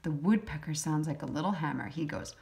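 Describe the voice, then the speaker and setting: A woman reading a children's picture book aloud as a teacher, in simple, deliberate short phrases. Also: low voice, vocal fry, darkish tone. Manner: acts out sound effects. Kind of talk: children's storybook read-aloud.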